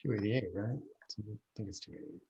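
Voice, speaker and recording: A man speaking casually as a guest on a video call, thinking aloud in halting, unfinished phrases. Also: lowish voice, creaky, dark tone, muffled recording, slight background noise. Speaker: guest speaker, baseball history researcher. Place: remote video meeting. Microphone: video call microphone.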